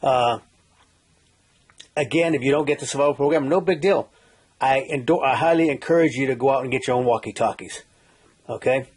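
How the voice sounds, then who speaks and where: A man's voice: medium pitch, brisk, pauses often, breathy edge. A middle-aged man with a folksy manner, giving an informal survival how-to talk and often checking in with "okay?" as he goes. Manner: folksy, repeats "okay?", many restarts and "uh". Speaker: survival instructor.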